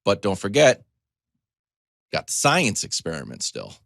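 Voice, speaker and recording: A man in his forties, a sports talk host, telling a comedic story in a casual, animated way with choppy asides and acted-out quotes. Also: lowish voice, a little slow, gravelly, dry recording, clean studio sound. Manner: animated, acts out quotes in dialogue.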